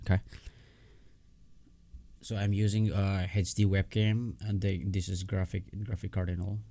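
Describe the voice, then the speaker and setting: A man giving a casual, unscripted software tutorial in a halting, repetitive way, often checking in with his listener. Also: low-pitched, little pitch movement, creaky.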